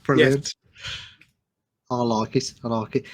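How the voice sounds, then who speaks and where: A man hosting a casual interview podcast, talking haltingly and repeating his words as he goes. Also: mid-range voice, steady tone. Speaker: podcast host.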